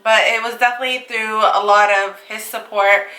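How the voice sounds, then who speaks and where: A woman's voice: fairly high pitch, fairly even intonation, fairly quick, sharp tone, echoey room, recorded from a distance, a little hiss or hum, poor audio. A woman in her twenties, a makeup artist, chatting casually with her husband in a Q&A video, full of fillers and laughs. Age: 20s. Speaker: makeup artist and channel co-host. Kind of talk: casual couple Q&A chat.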